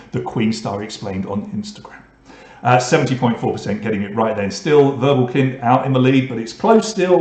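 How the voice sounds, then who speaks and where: A man's voice: medium pitch, a little mellow, echoey room. Joking man hosting an online pub quiz. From England. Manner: reads questions and scores, joking asides to players. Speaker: quiz host.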